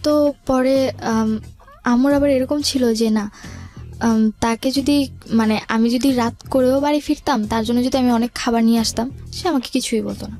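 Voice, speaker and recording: A woman's voice: fairly high pitch, steady tone, normal speed, dark tone. A young woman with a Bangladeshi accent tells a personal story conversationally as a guest, colloquially and with some English words mixed in. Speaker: guest telling life story. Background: background music.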